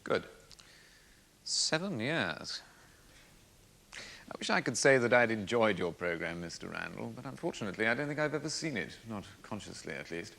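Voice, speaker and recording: An actor playing a wry, needling defence barrister in a courtroom drama, deliberate and theatrically courteous as he probes. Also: lowish voice, very animated, gravelly, sharp tone, full character voice. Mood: sardonic. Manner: sardonic, deliberate, rhetorical pauses. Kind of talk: courtroom drama cross-examination.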